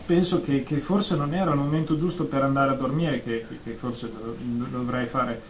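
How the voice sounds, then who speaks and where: A man's voice: mid-range voice, steady tone, normal speed, lightly acted. Man acting anxious stammering therapy patient, echoey room. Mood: nervous, hesitant. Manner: hesitant stammering with repeated words. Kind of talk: radio drama acting. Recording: roomy echo, faint background noise.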